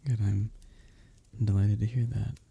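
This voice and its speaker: Man playing a dark-voiced, breathy, flirtatious medieval innkeeper. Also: fairly low pitch, level tone, unhurried, exaggerated voice. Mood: courteous, warm. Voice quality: breathy, soft. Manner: flirtatious, courtly, soft chuckles between phrases. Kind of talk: intimate ASMR roleplay with flowery old-fashioned speech.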